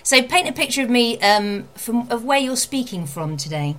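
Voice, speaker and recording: A woman's voice: a little high, animated, normal speed, a bit bright. A woman interviewing in a casual, chatty way, informal and encouraging with her guest.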